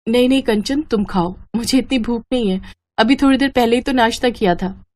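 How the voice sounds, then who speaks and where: A woman voicing a gentle, uncomplaining young wife who reassures in a cheerful, devoted way. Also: a little high, fairly quick, close to an everyday voice. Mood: polite, self-effacing.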